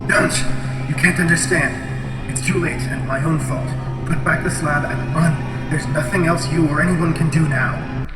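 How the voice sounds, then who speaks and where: Man acting a terrified doomed man, echoing and high-strung. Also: fairly even intonation, unhurried, over loud music, close to an everyday voice. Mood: frantic pleading. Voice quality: shaky, strained, breathy voice. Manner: urgent, pleading, horrified. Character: terrified doomed man crying warnings from a tomb.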